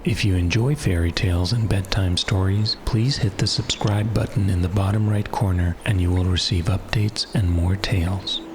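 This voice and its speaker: A man reading a scripted fairy tale as an audiobook narrator, in a measured, hushed bedtime manner. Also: sits low, fairly even intonation, noisy background. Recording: music behind the voice. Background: music.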